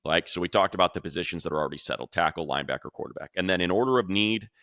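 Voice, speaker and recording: A man with a very deep voice and a bright, punchy edge talks through sports analysis. He is conversational and emphatic, rambling with asides. The sound is close and dry. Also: expressive, racing, no echo. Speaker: sports show host and analyst. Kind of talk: casual sports analysis talk.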